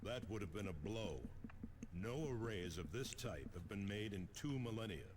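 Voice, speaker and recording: Man playing a loyal space marine sergeant, clipped, animated pitch, noisy game audio. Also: normal speed, close to an everyday voice. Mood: focused. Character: steadfast, disciplined male space marine sergeant. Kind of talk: video game dialogue. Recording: game audio with noise and clicking.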